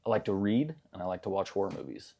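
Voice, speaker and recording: A man's voice: low-pitched, animated, quick pace, mellow. A young man answering interview questions in a casual, hesitant way, with many fillers and restarts. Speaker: gym member being interviewed.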